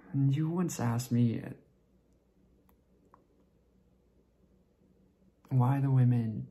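A man performing a dramatic monologue as a tipsy, lovesick young man confessing to a friend, halting and repetitive, with a creaky voice that often drops toward a whisper. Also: mid-range voice, mellow. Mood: aching, confessing. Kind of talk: dramatic stage monologue rehearsal.

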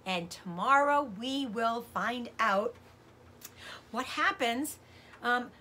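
A middle-aged woman reading a children's novel aloud as a teacher does, with expressive narration. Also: a little high, takes it slowly. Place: indoors, room with bookshelves.

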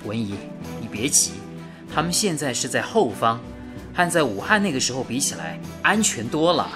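A man playing a calm, steady wartime radio engineer who reassures others in a radio drama. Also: mid-range voice, normal speed, over music, close to an everyday voice. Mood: reassuring.

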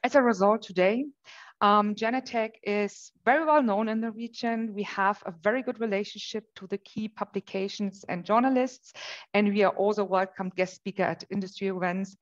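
A woman's voice: mid-range voice, muffled recording. German woman presenting on a video call. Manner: measured, with frequent "um" pauses. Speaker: marketing manager presenting. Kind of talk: webinar presentation. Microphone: webcam on a video call.

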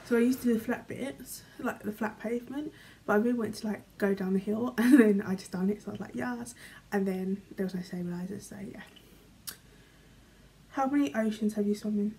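Young British woman for a casual bedroom vlog, warm and mellow, rambling, trailing off softly, faint room hum. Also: mid-range voice, fairly quick, rough recording. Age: young adult. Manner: chatty, filler-heavy, often trails off quietly. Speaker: vlogger. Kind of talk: casual chat, reading questions aloud. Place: small bedroom, indoors. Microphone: camera in front, medium framing.

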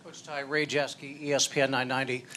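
A man working as a sports reporter, asking a wordy, halting question at a press conference. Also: mid-range voice, little pitch movement, bright, forceful tone, slight background noise.

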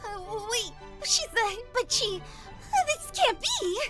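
A woman's voice: very high voice, sing-song, slow pace, music in the background, exaggerated voice. A woman playing an anxious, hesitant teenage schoolgirl in an anime fan dub. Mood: shocked. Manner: hesitant, easily flustered.

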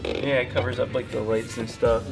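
A man's voice: medium pitch, level tone, brisk, gravelly, music in the background. A man showing his race car in a workshop, talking casually and repeating words as he goes. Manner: repeats words, restarts, enthusiastic about parts. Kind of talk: casual walkaround chat.

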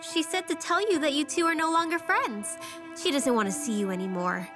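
Scheming, snooty fairy for kids' animation, high animated voice, smooth fake innocence, music behind. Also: female voice, a little fast. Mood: slyly deceitful. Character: sly, haughty young female fairy schemer. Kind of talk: children's cartoon dub.

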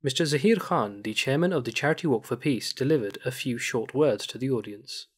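A man narrating a scripted news report as a studio voice-over, in an even, measured way. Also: mid-range voice, husky.

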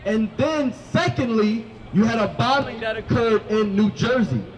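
A young man preaching on a city sidewalk into a handheld microphone, commanding and questioning his listeners, often rising to shouts. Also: very high-pitched, expressive, moderate pace, highs cut off, slight background noise. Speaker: street preacher. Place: city sidewalk by a food cart.

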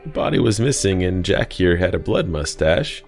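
A man playing a sinister, wry asylum inmate in an audio drama, calm and deadpan as he taunts. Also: low-pitched, normal speed, vocal fry, faint music behind, full character voice.

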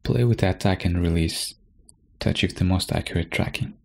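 A man narrating a software tutorial from a script, as a presenter explaining step by step. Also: low-pitched, fairly quick, vocal fry, slight background noise.

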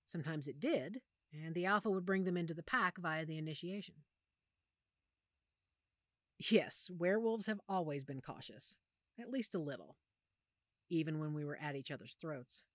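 A woman acting a protective, weary werewolf pack leader in an audio roleplay, speaking softly and measuredly with thoughtful pauses. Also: low-pitched, lively intonation, no room sound, clean studio sound. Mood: patient, explaining. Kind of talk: audio roleplay drama, one-sided conversation.

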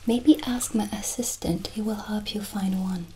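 Slow, whispering woman as a gentle witch. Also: mid-range voice. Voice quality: soft, breathy, often whispered. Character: soft-spoken witch selling potions and crystals. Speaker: ASMR roleplayer playing a witch shopkeeper. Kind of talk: ASMR roleplay. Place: small dark candlelit indoor set. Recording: clearly audible ambient background noise.

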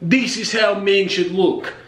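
A man's voice: high voice, steady tone, slow pace, a bit bright. A man playing a vain, boastful Russian bodybuilder in a comic monologue, with a Russian accent. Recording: roomy kitchen, distant camera mic.

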